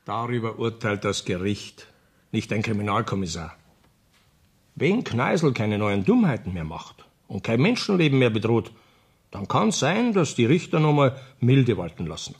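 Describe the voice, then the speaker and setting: Ein Schauspieler spielt in einem Hörspiel einen berechnenden Kriminalkommissar, der förmlich und überzeugend spricht. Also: male voice, mid-range voice, big pitch swings, husky. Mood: formal, insistent. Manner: formal, persuasive, measured. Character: calculating male police commissioner bargaining with an informant. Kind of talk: radio play acting.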